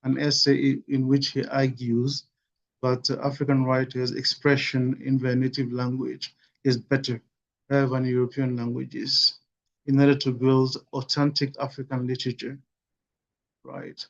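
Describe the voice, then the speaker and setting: Male webinar lecturer, mid-pitched, measured delivery with many fillers, muffled call audio. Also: monotone, creaky. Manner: measured, with frequent "you know" and "uh". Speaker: webinar speaker. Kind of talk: academic lecture. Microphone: video call.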